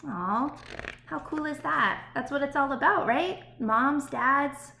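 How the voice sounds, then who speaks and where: A woman's voice: high-pitched, slow pace, vocal fry, bright, forceful tone. A woman speaking as an elementary school teacher to young pupils, encouraging and child-directed, checking in with little questions as she reads aloud and chats. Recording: roomy echo, faint background noise.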